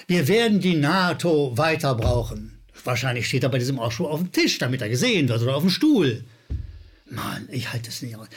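Sardonic Rhineland man, somewhat high-voiced. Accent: slight Rhineland German. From Rhineland, Germany. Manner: sardonic, emphatic, repeats words for effect. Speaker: host of a media criticism show. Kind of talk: opinionated commentary addressed to viewers.

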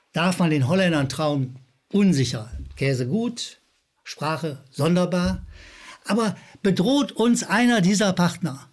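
A middle-aged or older man with a slight Rhineland German accent, hosting an opinionated media commentary in a sardonic, emphatic manner, repeating words for effect. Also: a little high. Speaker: host of a media criticism show. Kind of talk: opinionated commentary addressed to viewers.

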